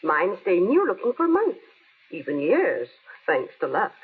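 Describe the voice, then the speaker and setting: Bossy brisk mom in old radio ad, lively, husky, tinny sound. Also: female voice, on the low side, heavily acted. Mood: brisk, chiding. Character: mother, brisk, instructive, gently scolding. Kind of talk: 1930s-40s radio ad acting.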